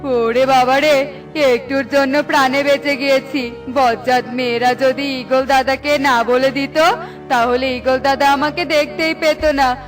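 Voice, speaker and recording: A woman's voice: high-pitched, fairly even intonation, measured pace. A woman voicing a sneaky, spiteful thief in a children's cartoon, grumbling and plotting. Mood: shaken, relieved. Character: sneaky, spiteful lychee thief, cowardly and vengeful. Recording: music behind the voice.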